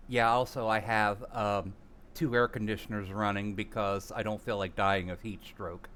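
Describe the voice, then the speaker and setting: A man's voice: fairly low pitch, flat delivery, moderate pace, a bit bright, slight background noise. A man with a nasal sound, chatting casually on a live stream and muttering at his computer. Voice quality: nasal on recordings.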